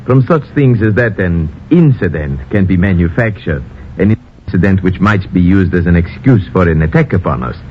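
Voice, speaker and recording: An actor playing a courteous but wary foreign border lieutenant in an old radio drama, speaking formal, stilted English. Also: male voice, low voice, animated, moderate pace. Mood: apologetic, firm. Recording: noisy, muffled vintage radio broadcast.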